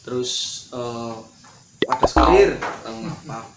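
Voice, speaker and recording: Male co-host, relaxed joking chat, echoey room, mic a bit far. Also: medium pitch, takes it slowly, very noisy. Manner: joking, asks questions, fillers. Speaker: podcast co-host. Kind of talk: casual chat in Indonesian with Javanese phrases.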